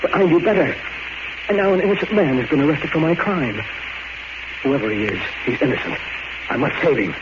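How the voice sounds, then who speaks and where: A man's voice: a little high, expressive, brisk, pauses often, lightly acted. An actor playing a desperate middle-aged lawyer and anguished father, pleading emphatically in an overwrought 1940s radio drama style. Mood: haunted resolve. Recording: noisy, dull 1940s radio recording.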